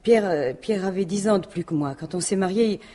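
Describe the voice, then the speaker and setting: An actress playing a defensive, jealous French widow in a radio mystery, talking in halting, colloquial phrases. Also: female voice, lowish voice, dark tone, lightly acted. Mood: confiding. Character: defensive, jealous widow, plain-spoken businesswoman. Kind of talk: 1950s-style French radio mystery acting.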